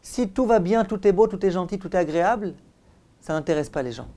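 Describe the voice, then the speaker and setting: A man's voice: sits high, animated, fairly quick, dark tone. A man speaking as a rabbi giving a religious lesson, addressing listeners directly in a didactic, emphatic way and repeating key phrases.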